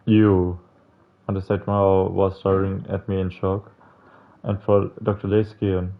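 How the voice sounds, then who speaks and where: A man's voice: sits low, little pitch movement, unhurried, narrow, tinny audio. A man hosting a visual novel playthrough, reading the game text aloud and adding casual, slangy, sometimes sweary commentary. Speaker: video game playthrough host.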